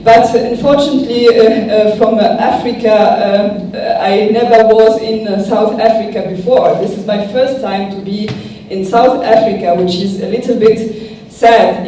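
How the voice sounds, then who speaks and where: A woman's voice: medium pitch, dark tone, slight background noise. A woman from Tunisia with a Tunisian accent, giving an improvised keynote speech, hesitant and thinking aloud with many fillers. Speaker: keynote speaker. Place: large conference hall. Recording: echoey hall from far off.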